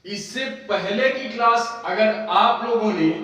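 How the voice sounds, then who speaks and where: A man's voice: sits high, slow, fairly bright tone. A young man in his twenties giving a casual coaching lecture, who keeps asking questions and answering them himself. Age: 20s. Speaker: teacher. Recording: echoey far-off room with faint music.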